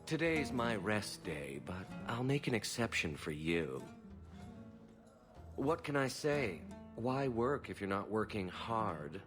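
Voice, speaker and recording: A smooth, flirtatious courtesan with a wistful streak. His low voice swoops lively up and down. Loud guitar music sits under the scene. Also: slow. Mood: flirty. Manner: smooth, flirtatious, self-mocking. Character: charming male courtesan, playful and wistful. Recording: game cutscene with guitar music.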